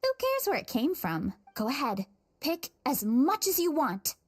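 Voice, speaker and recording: A woman's voice: high voice, measured pace, full character voice. An actress voicing a cheerful, chatty young woman who farms and loves food in a video game. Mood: upbeat, casual. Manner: bubbly, chatty, expressive. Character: cheerful young woman, food-loving orchard farmer, friendly and easygoing. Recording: game music behind the voice.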